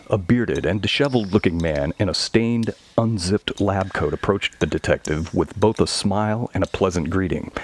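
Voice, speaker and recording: A man's voice: low-pitched, slight background noise. A man reading scripted narration for a horror audio story.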